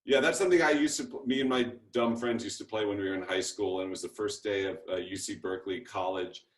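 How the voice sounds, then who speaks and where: Man, lowish voice, casual self-deprecating storyteller on a roomy video call. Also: fast talker. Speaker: panelist in a TV show reunion. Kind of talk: casual anecdote telling.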